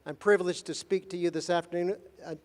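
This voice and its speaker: A man giving a formal conference lecture in measured, deliberate phrases with gentle humor, pausing for an interpreter. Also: high-pitched, steady tone. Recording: long hall reverb.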